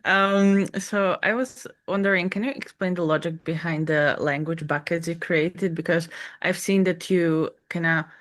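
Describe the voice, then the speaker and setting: A woman's voice: fairly low pitch, fairly even intonation, a little slow, a bit bright. A woman whose first language is Slovak, judging at an online conference and asking a detailed question while searching for her words. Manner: probing, hesitant, searching for words. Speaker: judge.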